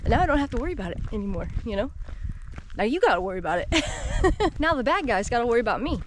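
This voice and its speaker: A woman's voice: a little high, big pitch swings, quick pace, music in the background. A woman in her thirties chatting to her camera on a wooded trail, rambling and laughing often. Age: 30s. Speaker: solo hiker vlogger. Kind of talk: casual walking vlog chat. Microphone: action camera mic carried while walking. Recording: outdoor background noise.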